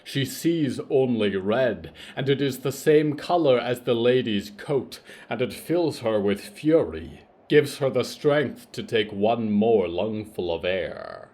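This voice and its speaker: A man narrating scripted horror fiction in a measured, solemn, dramatic way. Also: medium pitch, animated. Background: soft plucked guitar music.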